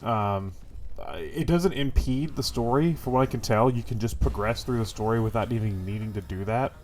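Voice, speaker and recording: A man's voice: medium pitch, normal speed, a little mellow, slight background noise. A man with a stuffy, congested voice giving casual, rambling impressions of a game as host of his gaming channel, full of fillers and the odd swear. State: congested from a cold.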